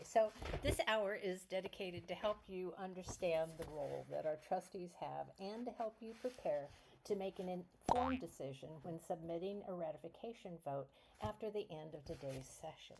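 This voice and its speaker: A soft-spoken woman chairing a nominating committee, presenting to an online meeting over a computer microphone. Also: lowish voice, lively intonation, normal speed, slight background noise, poor audio. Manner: soft-spoken, a little flustered. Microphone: computer mic on a video call.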